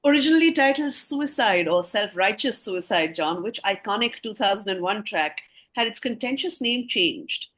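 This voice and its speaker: A woman's voice: mid-range voice, expressive, moderate pace, fairly bright tone. A woman quizmaster reading scripted questions aloud, with casual asides to the players.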